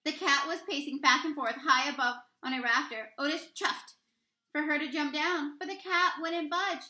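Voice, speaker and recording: High, bright woman reading a storybook. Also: moderate pace, reverberant. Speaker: read-aloud storyteller, teacher reading to children. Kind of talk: expressive read-aloud of a children's picture book.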